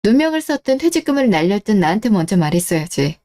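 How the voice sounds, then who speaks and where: A woman's voice: mid-range voice, big pitch swings, quick pace, mellow, natural voice. A woman playing a betrayed, cool-headed wife who questions and reproaches in radio-drama dialogue. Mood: stern, unforgiving. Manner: cold, interrogating, reproachful.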